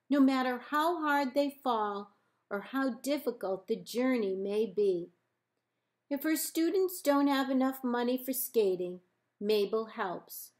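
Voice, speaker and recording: A woman librarian reading a children's picture book aloud in measured, deliberate phrases with pauses. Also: on the high side. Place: indoors, book held up to camera.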